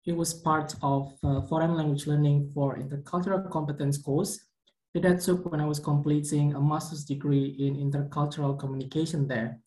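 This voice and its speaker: A young man whose first language is Indonesian, a PhD candidate giving a measured conference presentation with occasional fillers. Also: on the high side, flat delivery, a little mellow, roomy sound, rough recording. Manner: careful, measured academic delivery with some fillers. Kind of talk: recorded conference presentation over slides.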